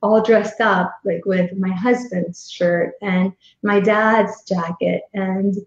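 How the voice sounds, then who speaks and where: A woman speaking coach talks through her ideas in an easy, storytelling way. Her voice sits at a middle pitch, and the sound is roomy and a bit dull. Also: steady tone, takes it slowly. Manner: warm storytelling, frequent affirming murmurs. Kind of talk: casual interview chat. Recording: echoey, dull remote audio.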